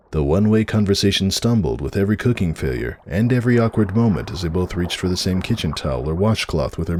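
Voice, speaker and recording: A man narrates a storybook tale in a low voice. His delivery is dramatic and swings widely in pitch, over clearly audible background ambience. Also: a little mellow, a little hiss or hum. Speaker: narrator of a fan fiction reading.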